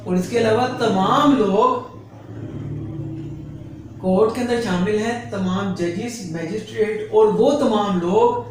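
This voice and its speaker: The recording is heavily echoing and picked up from a distance, with faint noise. A Pakistani man with a high-pitched voice delivers an instructional lecture. He speaks in a didactic way, restating key phrases as a teacher would. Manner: didactic, repeats key phrases in Urdu and English. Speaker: lecturer and advocate explaining law. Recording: very echoey room, distant mic, faint noise.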